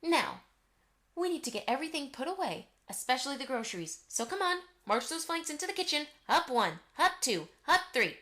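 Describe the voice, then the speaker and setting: A woman acts out a middle-aged mother pony in an audio drama. She is brisk, bossy and affectionate, with a fairly high voice and a firm motherly manner. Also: very animated, a bit bright. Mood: brisk, commanding. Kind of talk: fan audio drama acting.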